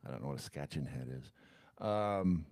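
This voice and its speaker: A man's voice: very deep voice, animated, moderate pace, a little mellow, no room sound. A man co-hosting a show in casual banter, reading comments aloud. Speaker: show co-host.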